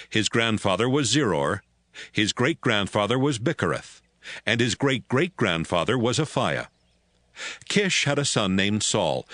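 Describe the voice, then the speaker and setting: A man narrating scripture from a script in a measured storytelling manner. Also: on the low side, fairly bright tone, no echo, studio quality.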